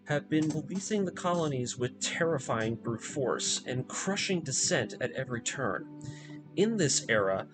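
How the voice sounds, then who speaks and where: A man reading a scripted story recap as a video narrator, in a dramatic, deliberate storytelling manner. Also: medium pitch. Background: background music.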